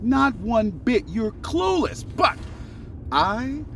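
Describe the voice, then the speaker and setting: A man's voice: extremely high pitch, sing-song, extremely slow, vocal fry, a bit bright, a little hiss or hum, heavily acted. A man playing a brash, condescending showbiz power broker in a comedic audio drama, patronising and full of big promises. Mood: patronising, pushy.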